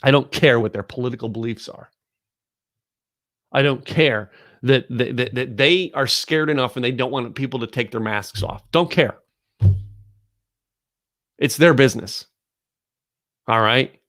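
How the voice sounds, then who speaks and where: A man's voice: mid-range voice, a little slow, pauses often, no echo, studio quality. A man hosting a podcast, delivering a blunt, emphatic and preachy monologue straight to camera. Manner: blunt, emphatic, preachy, repeats "ladies and gentlemen". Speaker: podcast host. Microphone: recorded on camera.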